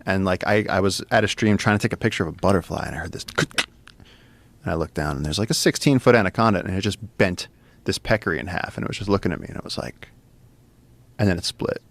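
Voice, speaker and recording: A man's voice: deep voice, fast talker, fairly bright tone. A man who works as a wildlife field researcher, telling field stories in a loose, vivid way. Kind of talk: casual storytelling.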